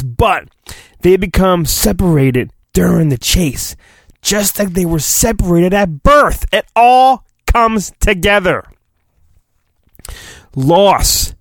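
A man's voice: sits high, no echo, clean studio sound. A man hosting solo, reading a storybook in mock-dramatic fashion with rambling, sarcastic asides. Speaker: solo podcast host.

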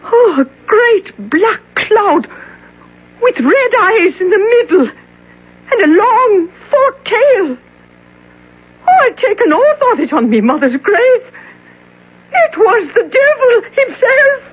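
An actress playing an excitable, superstitious older cleaning woman with a lilt in a 1970s radio drama. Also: very high voice, slow pace, pauses often, heavily acted. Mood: frightened. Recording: dull lo-fi 1970s radio broadcast.

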